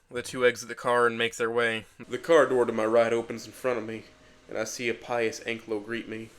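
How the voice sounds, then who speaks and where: A man voicing an injured, self-deprecating teenage boy who narrates his own story in a measured, wry, deadpan way for an audio drama. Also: medium pitch, clean tone, natural voice. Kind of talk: first-person scripted narration.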